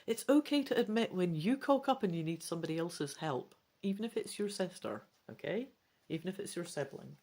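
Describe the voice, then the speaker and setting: Woman, theatrical storyteller, swooping intonation, slightly low pitch, gamebook narration. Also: brisk. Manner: theatrical, expressive. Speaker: gamebook reader and player. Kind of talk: dramatic narration with casual play commentary.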